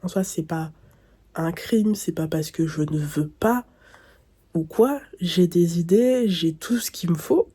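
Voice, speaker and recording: A woman's voice: on the low side, slow, dark tone, husky. A young woman vlogging casually with a blocked nose, sounding nasal and congested, rambling and thinking aloud. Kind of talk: casual vlog talk to camera.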